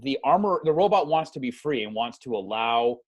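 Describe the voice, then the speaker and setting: A man talking as a podcast guest, casually pitching ideas in a conversational, self-deprecating way. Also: animated, fairly quick.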